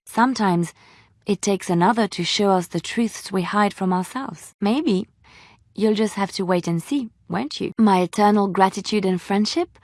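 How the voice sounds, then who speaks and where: An actress voicing a gentle, earnest young woman bard in a video game, with an expressive, deliberate delivery. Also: lowish voice, dry recording, clean studio sound, lightly acted. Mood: reflective, sincere, then playful, teasing. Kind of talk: scripted video game dialogue.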